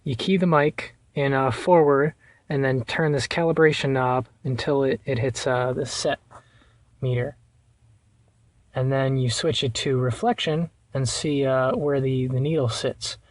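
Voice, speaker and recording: A man giving a casual hands-on how-to in an informal, halting way, thinking aloud as he goes. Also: medium pitch, steady tone, no echo. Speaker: vlogger showing a car radio install.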